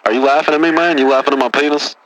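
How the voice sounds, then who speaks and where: A man's voice: a little high, fairly even intonation, racing, noisy background, close to an everyday voice. A man playing a boastful, touchy caller from Texas who says "man" after nearly every phrase, in a comedy radio bit. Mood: whiny, indignant. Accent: Texan.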